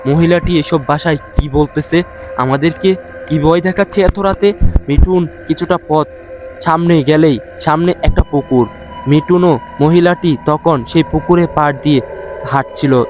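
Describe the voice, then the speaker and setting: A man narrating a scripted horror story haltingly, often repeating words. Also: on the high side, a little mellow. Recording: music behind the voice. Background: music.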